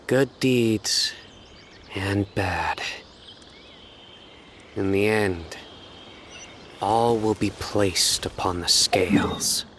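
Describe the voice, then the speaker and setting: An actor playing a grave, restrained man in a video game, with a deliberate, serious delivery. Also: on the low side, a bit bright, slight background noise. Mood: solemn. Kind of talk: video game character acting.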